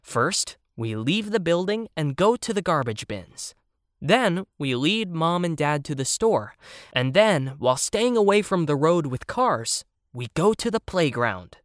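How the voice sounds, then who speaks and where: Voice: medium pitch, big pitch swings, unhurried, no room sound, studio quality, voice pushed up. A storyteller voicing a self-important child who plays at being an explorer, speaking emphatically in a children's story. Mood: eager, pompous. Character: earnest, bossy child explorer playing geographer.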